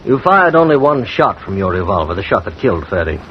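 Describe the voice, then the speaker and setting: A man's voice: lowish voice, sing-song, a little fast. A man acting a stern, formal military investigator in a 1950s radio drama. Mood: stern, accusing. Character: stern British military investigator making an arrest. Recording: muffled, noisy old radio recording.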